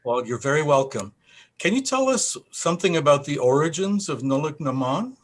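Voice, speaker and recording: A man's voice: on the high side, big pitch swings, normal speed. A man acting as interviewer, giving prepared introductions and questions in a formal, courteous manner. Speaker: interviewer, festival president.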